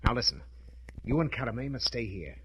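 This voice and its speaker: A decisive investigator, noisy old radio. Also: male voice, mid-range voice, very animated, moderate pace, a bit bright. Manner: clipped, urgent, authoritative. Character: decisive, confident male investigator leading a manhunt. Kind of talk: 1930s radio drama acting.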